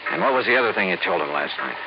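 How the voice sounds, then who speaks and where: A man's voice: low-pitched, lively intonation, rapid-fire, background noise. An American man acting a tough, shrewd investigator in a clipped, dry, hard-boiled manner for a 1950s radio detective drama. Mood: probing, dry. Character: tough, shrewd male freelance insurance investigator. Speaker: lead actor and narrator. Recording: muffled old radio recording with music.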